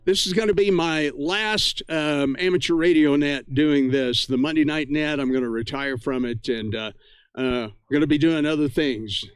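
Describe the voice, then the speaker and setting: A man casually hosting a live ham radio net, friendly and effusively thankful, with halting, filler-heavy speech. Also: on the high side, clean tone, no echo.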